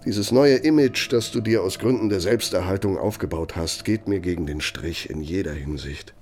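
A man's voice: low-pitched, a little mellow. An actor playing a bitter, sardonic older former right-hand man in a radio play, speaking with needling, threatening emphasis. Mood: scornful sarcasm. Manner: sarcastic, needling, emphatic, drawn-out phrasing for menace. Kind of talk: radio play dramatic monologue.

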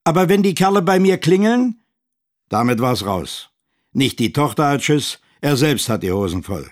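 A man's voice: fairly high pitch, sing-song, moderate pace. An actor playing a street-wise, scornful man telling his side in a radio drama monologue. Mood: mocking.

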